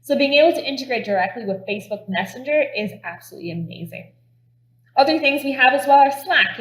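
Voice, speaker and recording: A woman's voice: a little high, roomy sound. An Irish woman giving an upbeat, step-by-step product demo at a conference. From Ireland. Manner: upbeat and enthusiastic, explaining step by step. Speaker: conference presenter.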